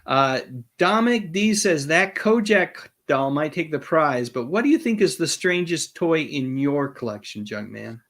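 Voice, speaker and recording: A man's voice: on the high side, lively intonation, unhurried, fairly bright tone. A man hosting a livestream, chatting casually and reading out viewer questions in a friendly, courteous manner. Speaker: livestream host.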